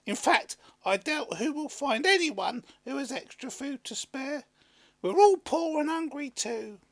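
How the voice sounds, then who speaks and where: A man's voice: very high-pitched, lively intonation, measured pace, fairly bright tone, pitched above the performer's own voice, exaggerated voice. A man voicing a poor, wary village woman with an exclaiming, doubtful manner in a storybook. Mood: wary, guarded. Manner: exclaiming, incredulous.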